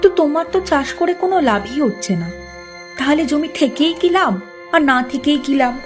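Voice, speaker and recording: A woman playing the nagging, worried wife of a poor village farmer in a cartoon, expressive and quick to scold. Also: high voice. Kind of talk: cartoon character dialogue. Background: loud music.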